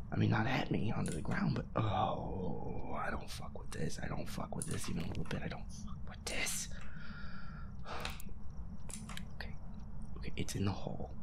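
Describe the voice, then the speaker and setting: Male gamer, whispered and creaky, anxious sweary muttering, out of breath, horror playthrough. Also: fairly low pitch. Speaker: video game player.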